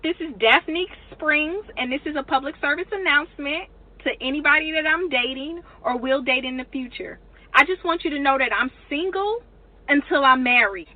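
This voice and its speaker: A woman's voice: high voice, pushed and bright, no echo, low-quality recording. A woman with an American accent delivering a blunt, sassy, emphatic monologue.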